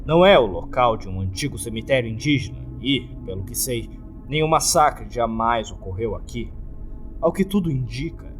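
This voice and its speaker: A man's voice: medium pitch, natural voice. Man playing a dramatic, formal Brazilian hotel keeper of horrors. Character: gloomy, courteous hotel keeper recounting a haunted hotel's history. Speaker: horror story narrator. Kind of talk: scripted horror narration. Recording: music under the voice.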